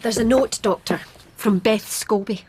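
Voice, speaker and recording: An actress playing a knowing, prim Scottish housekeeper in a radio drama, confiding like a gossip who denies being one. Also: female voice, medium pitch, unhurried, a little mellow, a little hiss or hum, lightly acted. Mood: knowing, discreet.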